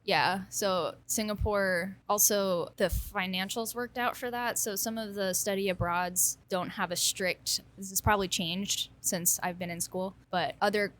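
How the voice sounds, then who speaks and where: A woman's voice: medium pitch, fairly even intonation, measured pace, few pauses, a bit bright. A woman in her thirties, a brewer and engineer, answering interview questions in a casual, self-deprecating way with frequent laughs. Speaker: kombucha brewer and engineering alumna.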